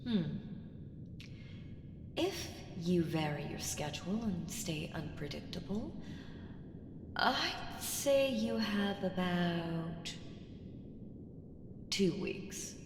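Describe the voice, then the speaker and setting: A woman's voice: on the low side, lively intonation, drawn-out words, long pauses, over music. A woman voicing an ancient evil entity in an ASMR roleplay, seductive, sly and taunting, with ominous teasing and whispered phrases. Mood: instructing, foreboding. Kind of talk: ASMR roleplay audio drama. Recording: reverberant hall effect, faint noise.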